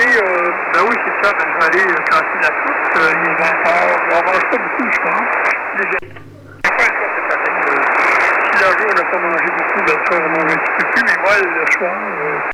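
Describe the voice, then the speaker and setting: A man with a Quebec French accent, heard over a radio transmission, chatting casually and hesitantly as a friendly amateur operator. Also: high voice. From Canada. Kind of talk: casual ham radio conversation. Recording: very noisy, crackling shortwave radio reception.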